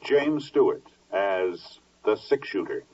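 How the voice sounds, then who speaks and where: A man's voice: on the low side, lively intonation, slow pace. A man working as a radio announcer, reading a scripted introduction in a formal, dramatic 1950s style. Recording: muffled, distant 1950s radio recording. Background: loud music.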